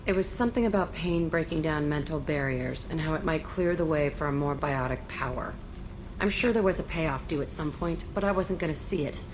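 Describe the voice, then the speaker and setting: A woman's voice: low voice, fairly quick, background noise, lightly acted. An actress playing a blunt, bitter, foul-mouthed young female ex-prisoner in a video game. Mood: bitter, guarded. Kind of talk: video game character dialogue.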